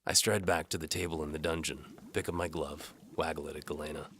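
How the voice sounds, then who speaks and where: Man playing a very deep, world-weary sellsword. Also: steady tone, brisk, a bit bright, breathy edge, exaggerated voice. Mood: dry, wry. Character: world-weary, sardonic hard-boiled bow for hire. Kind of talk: noir first-person audio drama narration.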